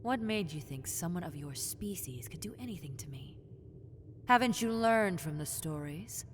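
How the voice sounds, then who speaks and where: A woman voicing a possessive, teasing lamia, a half-snake predator, in a roleplay audio drama, with condescending, seductive taunts that slip into breathy whispers. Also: on the low side, lively intonation, normal speed, natural voice. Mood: mocking. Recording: long cave-like reverb, faint noise.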